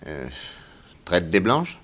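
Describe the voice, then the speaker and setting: A fairly low male voice. a shrewd private detective in a radio serial, genial and self-assured, reasoning aloud in a conversational way. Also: big pitch swings, slow, fairly bright tone, exaggerated voice. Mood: helpful, brisk. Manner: conversational, analytical. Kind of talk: French radio drama acting.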